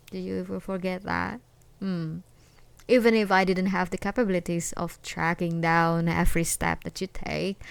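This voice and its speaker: A woman's voice: fairly low pitch, vocal fry, heavily acted. A woman acting an obsessed, menacing ex-girlfriend in an ASMR roleplay, with a mock-tender, taunting manner. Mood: menacing, smug. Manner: mock-tender, taunting, breaking into whispers and laughs. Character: obsessed, menacing yandere ex-girlfriend stalking her lover.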